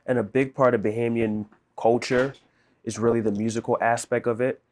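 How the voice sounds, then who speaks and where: A man's voice: mid-range voice, little pitch movement, moderate pace, a little hiss or hum. A man hosting an interview, asking leading questions in a reflective way. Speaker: interviewer host.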